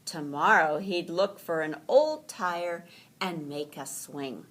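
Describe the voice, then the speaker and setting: A woman reading a children's story aloud in an animated, deliberate storytelling manner. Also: lowish voice.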